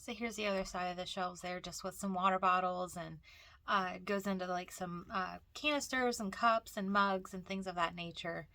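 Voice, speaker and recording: A woman's voice: fairly low pitch, fairly even intonation, moderate pace, pushed and bright, dry recording. A woman with a cold and a stuffy, nasal voice, chatting casually as she narrates a walk through a thrift store. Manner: chatty, rambling, frequent fillers and restarts.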